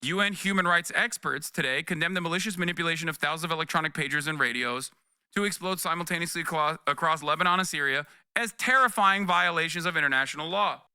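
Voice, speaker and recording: A man with a fairly high, very bright and crisp voice commentates on a livestream. He speaks bluntly and emphatically, mixing news reading with heated reaction. The sound is dry and close. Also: fast, no echo. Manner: emphatic, sweary, blunt, repeats points for emphasis.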